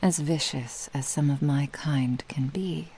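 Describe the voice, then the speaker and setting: A woman playing a possessive, doting fox-spirit witch in an intimate ASMR roleplay, teasing in formal old-fashioned phrasing and often dropping into breathy whispers. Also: low-pitched, unhurried, mellow, full character voice. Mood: smug, protective. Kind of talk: ASMR roleplay, intimate scripted monologue to the listener.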